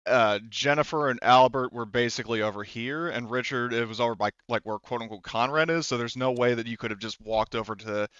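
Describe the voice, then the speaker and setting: A man's voice: mid-range voice, fairly quick, few pauses, fairly bright tone, smooth, no echo, lo-fi sound. A man working as game master, narrating a tabletop roleplaying game off the cuff.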